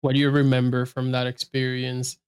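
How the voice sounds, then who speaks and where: A man's voice: mid-range voice, completely flat delivery, brisk, clean tone. A man interviewing a guest, asking loose, rambling questions full of fillers and easy laughs.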